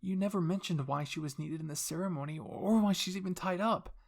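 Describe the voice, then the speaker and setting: A man's voice: high voice, fairly quick, vocal fry, voice pushed up. A man voicing a distressed young royal daughter who pleads and questions in a dramatic anime fan fiction reading. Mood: confused, questioning.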